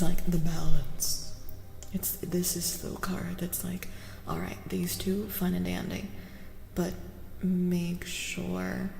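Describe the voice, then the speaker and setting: A woman giving a soft-spoken ASMR tarot reading, hushed and gentle, often slipping into whispers and softly repeating reassurances. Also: fairly low pitch, creaky, reverberant, big-room sound.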